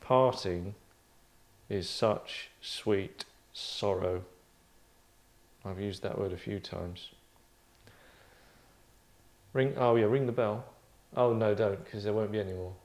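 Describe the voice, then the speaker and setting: A man hosting an online table read in casual, wry chat, with a deadpan, mock-formal manner. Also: on the low side, slow, long pauses. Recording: roomy echo.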